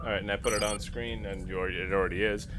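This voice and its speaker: A man's voice: on the low side, fairly even intonation, racing, very noisy. A man playing in a tabletop game, talking casually and improvising his character's actions. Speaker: player in a tabletop game show.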